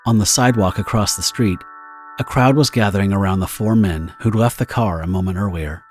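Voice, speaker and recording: A man giving scripted, dramatic narration as the narrator of an audio drama. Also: low-pitched.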